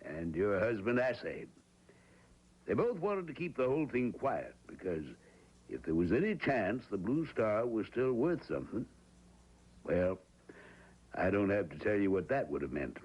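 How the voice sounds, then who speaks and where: A man's voice: fairly low pitch, big pitch swings, measured pace, long pauses, husky, full character voice. An actor playing an uneasy man who explains a business deal with hesitant 'well's and 'uh's in an old-time radio drama. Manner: hesitant, full of 'well' and 'uh'. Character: uneasy small-town man, hesitant and evasive. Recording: dull lo-fi old radio recording.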